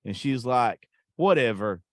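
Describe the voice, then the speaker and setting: A man's voice: mid-range voice, lively intonation, normal speed, no echo, studio quality. A man hosting a podcast, chatting casually and telling crude stories with a lot of profanity and self-mocking humor. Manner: profane, joking, laughs at himself. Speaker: podcast host. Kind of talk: casual crude storytelling chat.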